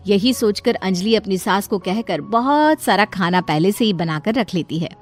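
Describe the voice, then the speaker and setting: A woman's voice: mid-range voice, lively intonation, moderate pace. A woman reading scripted narration for a cartoon story as its narrator. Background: background music.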